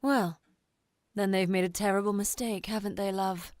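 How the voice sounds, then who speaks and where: A woman's voice: mid-range voice, steady tone, moderate pace, darkish tone, no echo, clean studio sound, close to an everyday voice. An actress playing a proud, defiant wife accused of witchcraft in a period audio drama, with eloquent, imperious speech. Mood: wary, incredulous.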